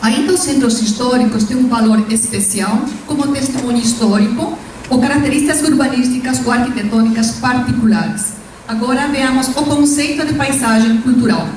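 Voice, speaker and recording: A woman from Peru with a Peruvian Spanish accent, a native Spanish speaker giving a formal, measured academic conference presentation as invited professor. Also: a little high, a little mellow. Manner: formal, measured lecturing with Spanish-tinged hesitations. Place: lecture hall. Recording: echoey hall from far off, faint noise.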